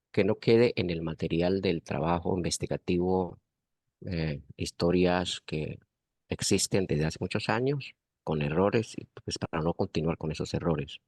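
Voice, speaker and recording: A man's voice: deep voice, a little mellow, no echo, studio quality. A man with a Colombian accent, commenting earnestly in a university class discussion and stressing his points with repetition.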